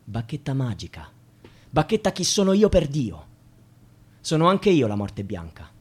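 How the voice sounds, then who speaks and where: An actor playing an anxious, bitter, jobless young student in a theatrical, rhythmic Italian radio play, with a husky voice. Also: male voice, medium pitch, huge pitch swings, unhurried, darkish tone, heavily acted. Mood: frenzied, mocking. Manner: theatrical, wordplay-driven, repetitive.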